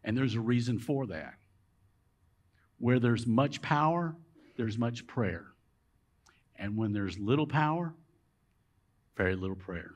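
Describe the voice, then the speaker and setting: Animated older preacher. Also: male voice, medium pitch, slow pace, pauses often. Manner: emphatic preaching, rising and dropping dramatically. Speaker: pastor. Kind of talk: sermon. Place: large church sanctuary with rows of empty chairs.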